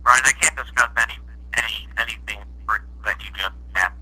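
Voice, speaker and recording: A man's voice: medium pitch, fairly quick, sharp tone, breathy edge. A man working as a customer support agent, speaking politely and procedurally over a telephone line. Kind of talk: phone customer service talk. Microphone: heard over a phone call. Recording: harsh, tinny telephone line.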